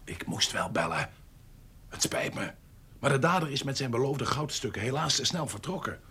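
Corrupt court official, breathy rasp, lively intonation, slippery, murmured insinuations, period radio play. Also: male voice, mid-range voice, moderate pace, full character voice. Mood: sly, mocking. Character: sly, corrupt, evasive privy councillor of an 18th-century court. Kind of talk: radio drama acting.

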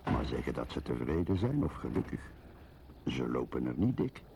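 An actor playing a wry, world-weary, plain-talking man in a colloquial radio play monologue, with a gravelly voice. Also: very deep voice, big pitch swings, normal speed, warm tone, a little hiss or hum, full character voice. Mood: wry, sceptical.

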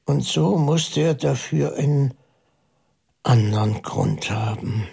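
An actor playing a pondering priest detective in a German radio play, methodical and intense, musing aloud with halting emphasis. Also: male voice, medium pitch, gravelly, mellow, breathy edge, full character voice. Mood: brooding, deliberate. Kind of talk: German radio play dialogue, deliberate monologue.

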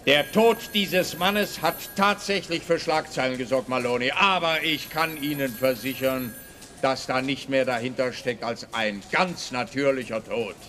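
A man's voice: medium pitch, a little slow, a bit bright, close to an everyday voice. A man acting as an officious, smug policeman in a radio drama. His delivery is hard-edged and emphatic, with a needling touch and a Swiss Standard German accent. There is faint ambient noise behind him. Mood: official, guarded. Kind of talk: radio play dialogue.

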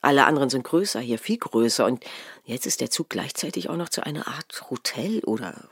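A man plays an uneasy traveller recounting a paranoid dream. His creaky, husky voice swings widely in pitch as he moves haltingly through the monologue. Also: lively intonation, a bit bright, dry recording, clean studio sound.

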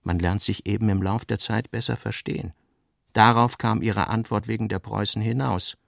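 A man reading scripted literary narration for radio in a measured, precise way. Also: fairly low pitch, fairly even intonation, vocal fry.

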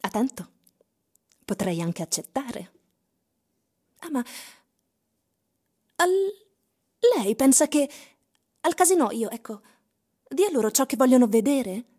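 A woman's voice: a little high, warm tone, slightly rough, full character voice. An actress playing a self-assured, mysterious female singer in a theatrical radio drama, teasing and coy. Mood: seductive.

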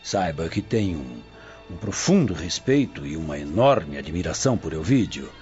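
Low-voiced, expressive scheming Roman gentleman. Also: normal speed, soft background music. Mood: confiding, longing. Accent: Brazilian. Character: smooth-talking scheming Roman gentleman, formal, covetous. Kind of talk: radio drama acting. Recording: dull, muffled studio track.

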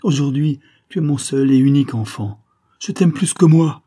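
A man's voice: fairly low pitch, normal speed, darkish tone, breathy edge. A man reading aloud plays a grieving old nobleman, a father who cries out and begs tearfully. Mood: pleading.

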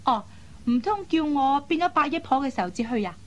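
A woman's voice: sits high, lively intonation, a little fast, a bit bright, lo-fi sound. A woman playing a playful, headstrong young air hostess in a Cantonese radio drama, pouty and teasing. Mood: breezy, defiant. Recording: faint background noise.

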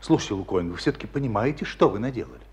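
Radio drama casting: commanding army school chief, low voice, stern, exasperated but fair. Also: male voice, sing-song, racing, darkish tone. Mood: stern, reproachful. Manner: commanding, reproachful, emphatic. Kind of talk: Russian radio play acting.

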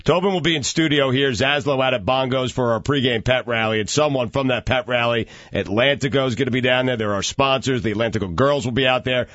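A man's voice: medium pitch, fast talker, hardly any pauses, a bit bright, dry recording. Guy hosting sports radio, sarcastic banter, dry muffled studio sound. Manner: joking, sarcastic, reads promos.

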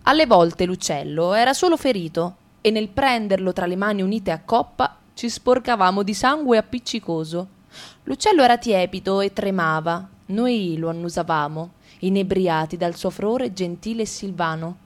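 A woman's voice: mid-range voice, expressive, normal speed. Woman reading in radio theatre. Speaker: stage reader.

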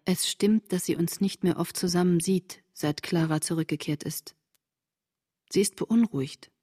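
An actress playing a reflective woman reading from her wartime diary in a measured, intimate literary radio drama delivery, with a slightly husky voice. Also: on the low side, warm tone, natural voice. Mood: matter-of-fact.

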